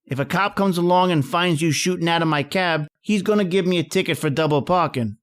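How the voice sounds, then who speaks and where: Man as a high-voiced streetwise cabbie. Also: a little fast, natural voice. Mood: helpful, impatient. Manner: slangy, casual. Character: brash, chatty, streetwise male cab driver. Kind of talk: old-time radio drama acting.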